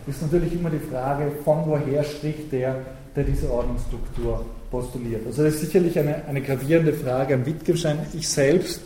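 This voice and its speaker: A man's voice: on the high side, mellow. German man lecturing in an echoey room. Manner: thoughtful, hesitant, with many fillers. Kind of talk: academic talk and discussion. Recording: very echoey room, faint noise.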